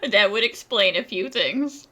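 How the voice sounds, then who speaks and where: A woman's voice: medium pitch, lively intonation, a little slow, noisy background. A woman giving casual playthrough commentary on a video game in dry quips. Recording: loud game music behind.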